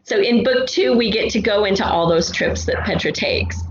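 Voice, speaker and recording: A woman's voice: medium pitch, normal speed, crowd noise. A woman speaking as a writer in a casual interview, explaining her ideas at length in reflective, articulate terms. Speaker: audio drama writer and author guest. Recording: dull, roomy sound with faint noise.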